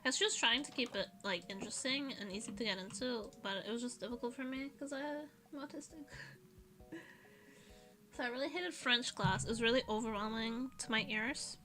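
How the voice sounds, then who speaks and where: A woman's voice: a little high, a little fast, pushed and bright, soft background music. A woman streaming as a vtuber, rambling in casual chat and laughing often as she answers her chat.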